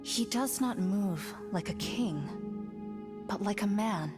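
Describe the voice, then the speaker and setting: Solemn, slow-spoken female warrior. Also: mid-range voice, animated. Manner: measured, grave, formal phrasing. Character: solemn female warrior bearing grave news of a fallen comrade. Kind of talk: scripted dramatic narration in a motion comic. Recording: music under the voice. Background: music.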